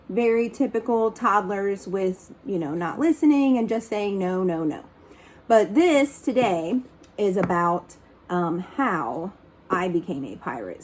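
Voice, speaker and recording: A woman reading a picture book aloud like a teacher, expressive and instructive, speaking to young listeners. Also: medium pitch. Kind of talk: storybook read-aloud with brief asides.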